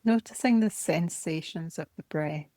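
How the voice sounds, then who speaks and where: A woman meditation teacher leading a guided body scan in a calm, gentle, measured way, speaking in short phrases. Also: sits low, no room sound, clean studio sound.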